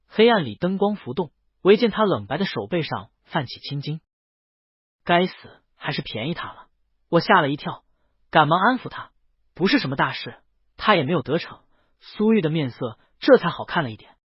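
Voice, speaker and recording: A man's voice: on the high side, slightly rough, no room sound, lo-fi sound. A man narrating a scripted first-person story as an audiobook reader.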